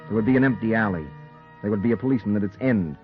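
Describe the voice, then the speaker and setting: A man acting as a hard-boiled, guilt-ridden reporter in a noir radio thriller, his voice on the low side. He speaks with brooding intensity over a muffled vintage recording with music behind. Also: rapid-fire, close to an everyday voice. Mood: grim narration. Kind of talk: 1940s radio drama acting and noir narration.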